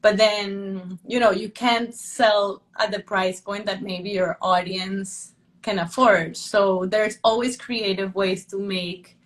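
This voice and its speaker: A woman's voice: mid-range voice, steady tone, slow. A woman from Venezuela, an art curator talking casually in an interview, thoughtful and full of fillers and restarts.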